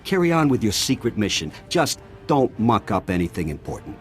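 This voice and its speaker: A sneering army colonel. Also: male voice, lowish voice, big pitch swings, normal speed, over music. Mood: scornful. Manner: sardonic, grumbling. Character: sarcastic, dismissive middle-aged male army colonel. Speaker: regimental colonel.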